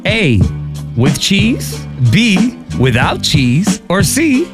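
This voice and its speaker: A man's voice: high-pitched, wildly swooping pitch, extremely slow. A man hosting a playful quiz as a show co-host, teasing and switching between English and Spanish. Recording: loud music under studio talk. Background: music.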